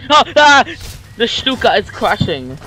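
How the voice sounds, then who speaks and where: A young man streaming a war strategy game from a desk at home, yelling at the game and putting on silly voices. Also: sits high, very animated, measured pace, faint music behind, poor audio. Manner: yelling and screeching at the game, silly mock accents. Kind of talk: excitable gaming commentary.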